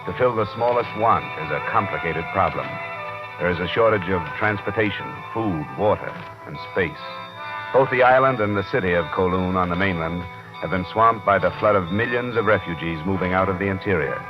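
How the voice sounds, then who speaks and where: An actor playing a tough, world-weary American insurance investigator in a vintage radio drama, clipped and matter-of-fact. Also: male voice, low voice, fairly quick, natural voice. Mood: dry, weary. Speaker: lead actor in a radio detective series. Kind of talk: 1950s radio drama acting, first-person narration and dialogue. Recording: muffled vintage radio recording with music.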